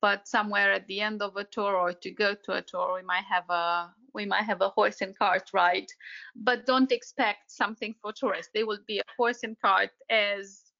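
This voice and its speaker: A woman's voice: mid-range voice, fairly even intonation, normal speed, gravelly, sharp tone, lo-fi sound. A woman with a Romanian accent chatting casually as an interview guest, searching for words as she goes. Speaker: podcast guest, tour operator.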